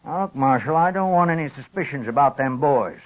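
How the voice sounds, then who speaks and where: An American actor playing a stubborn, plain-spoken old rancher with a rural western accent in a 1950s radio western. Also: male voice, fairly high pitch, animated, fairly quick, close to an everyday voice. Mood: insistent, protective. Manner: plain-spoken, folksy, defiant. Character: stubborn, blunt old rancher, protective father. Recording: dull vintage radio recording.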